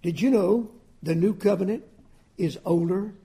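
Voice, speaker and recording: A man preaching a sermon with folksy asides, swinging between loud emphatic lines and soft drawn-out phrases. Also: high voice, vocal fry.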